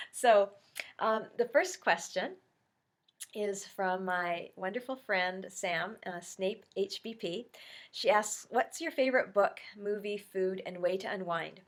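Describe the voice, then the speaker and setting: A woman's voice: mid-range voice, slow. A middle-aged woman from Canada, congested with a cold, chatting casually through a Q&A for her vlog with lots of ums and you-knows. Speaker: YouTube vlogger. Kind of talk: casual Q&A chat to camera.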